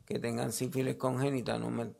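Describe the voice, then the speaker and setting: A man with a Puerto Rican accent speaking as a health official at a press briefing, halting and repeating words as he explains in a semi-formal way. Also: mid-range voice, little pitch movement, gravelly, darkish tone.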